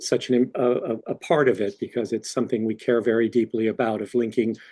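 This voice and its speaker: A man who directs a university language center speaks earnestly to panel attendees. His voice has a husky edge, and he often restarts phrases as he goes. The sound is plain video-call audio. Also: medium pitch, fairly even intonation, a little fast, warm tone. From Mexican background. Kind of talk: welcome remarks at a panel.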